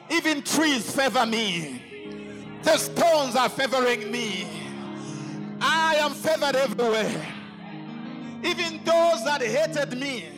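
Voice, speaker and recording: Man preaching, very high voice, slow deliberate pace, declarations, church music behind. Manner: forceful call-and-response declarations, often shouting. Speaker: pastor. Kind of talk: sermon, leading congregation in declarations.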